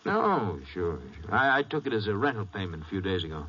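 An actor playing a polite, helpful small-town broker in an old-time radio western. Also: male voice, on the low side, big pitch swings, fairly quick. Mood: obliging. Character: obliging small-town male real estate broker. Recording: noisy lo-fi old radio recording.

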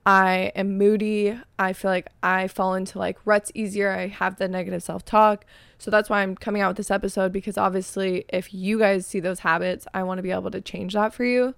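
A young woman chats through her solo podcast episode, her voice raspy as she is losing it but still even and smooth at the core. She rambles in a frank, filler-heavy way, and the sound is dry and close with no room around it. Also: medium pitch, fairly quick, no echo. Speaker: podcast host. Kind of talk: casual solo podcast chat.

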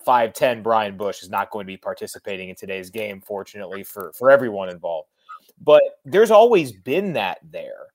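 A man's voice: lowish voice, expressive, normal speed, darkish tone. A man giving casual, emphatic sports analysis as a guest on a podcast.

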